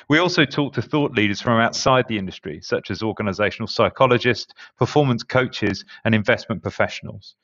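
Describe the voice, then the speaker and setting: A man's voice: fairly low pitch, a bit bright, lo-fi sound. A man with an Essex accent hosting a podcast interview, chatty and jokey, asking loose, rambling questions. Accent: Essex English. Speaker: podcast host. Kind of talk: casual interview chat. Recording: roomy echo.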